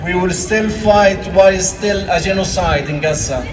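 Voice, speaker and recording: A man's voice: high voice, a little slow. Impassioned man speaking at a street rally. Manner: urgent, repetitive, broken English phrasing. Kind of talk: impassioned protest speech. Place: outdoor street rally in winter. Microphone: camera in the crowd, at a distance. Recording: echoey, distant, with loud crowd and street noise.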